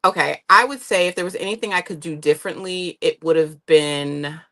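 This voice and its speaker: A woman's voice: deep voice, a little fast, a bit bright. A woman talking casually and candidly as a panelist about her own experience.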